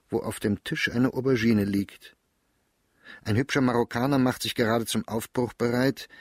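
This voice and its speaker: A man with a breathy, slightly low voice reads a diary aloud for radio. His delivery is measured, calm and detached. The close studio recording is dry, with no room sound. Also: no echo. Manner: measured, calm, detached first-person reading. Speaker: narrator reading a diary. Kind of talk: scripted literary diary reading for radio.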